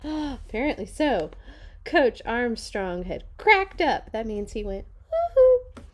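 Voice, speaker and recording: A woman reading a children's story aloud with dramatic, deliberate phrasing and chatty, playful asides. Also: fairly high pitch, sing-song, fairly bright tone. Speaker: read-along storyteller for children. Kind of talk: expressive picture-book read-aloud with chatty asides.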